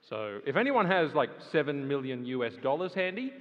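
A man making a casual, chatty announcement to an audience in a large auditorium, with lots of fillers and quick asides. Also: mid-range voice, big pitch swings. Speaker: event presenter promoting a program. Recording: reverberant hall, dull sound.

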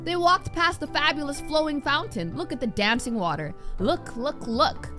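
Animated, high-voiced young woman gamer. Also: moderate pace, soft background music. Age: young adult. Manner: animated, reactive, talks to the game. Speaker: gaming streamer. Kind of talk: casual playthrough commentary with reading aloud.

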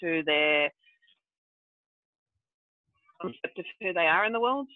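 A woman's voice: fairly low pitch, lively intonation, a little fast, no echo. A woman who grew up in Brazil and South Africa, thinking aloud as a guest on a video call, with many fillers. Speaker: guest, regenerative development practitioner. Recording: tinny phone-like dry audio.

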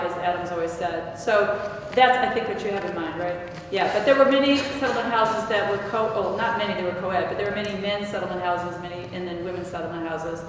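An older woman speaking on a panel and answering audience questions, conversational, with frequent restarts and self-corrections. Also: medium pitch. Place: large echoey hall with stone walls. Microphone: table mic, picked up from a distance. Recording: echoey hall, distant mic, audible noise.

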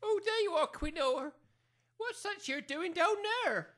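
A woman's voice: very high-pitched, expressive, a little slow, a bit bright, heavily acted. A woman playing an anxious, pleading working-class village mother in an amateur comedy audio drama, flustered and apologetic. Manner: flustered, apologetic, non-standard grammar.

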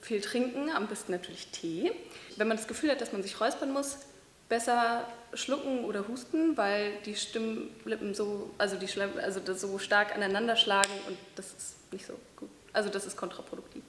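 A young woman, a voice training student, explaining and demonstrating breathing and voice exercises in a large bright hall, pausing now and then with fillers. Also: mid-range voice, slight background noise. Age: young adult. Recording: echoey hall.

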